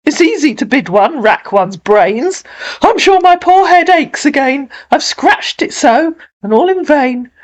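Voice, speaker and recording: Woman acting storybook mayor, English accent, high-pitched, fretful, jumpy, swooping exclamations. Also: expressive, unhurried. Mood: despairing, fretful. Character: flustered, timid, fat old male mayor of storybook town.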